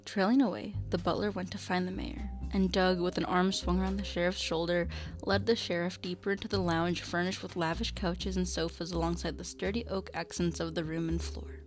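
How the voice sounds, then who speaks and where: A woman's voice: on the low side, level tone, fairly quick, hardly any pauses, faint music behind. A woman narrating a scripted radio drama as storyteller.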